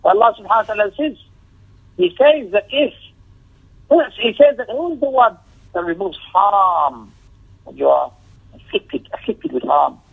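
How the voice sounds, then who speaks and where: A man's voice: high voice, expressive, normal speed, creaky, pauses often, slightly rough. A man teaching an Islamic lesson as a religious teacher, emphatic, with repeated phrases, rhetorical questions and shifts into Arabic recitation. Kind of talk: Islamic lecture with commentary on a text. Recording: tinny, narrow telephone-like audio.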